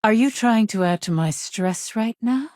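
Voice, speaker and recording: A woman playing a brisk, guarded, impatient corporate lawyer in a video game, speaking in a crisp, formal, curt way. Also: fairly high pitch, lively intonation, a little mellow, dry recording, studio quality. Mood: measured, stressed. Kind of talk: scripted game dialogue.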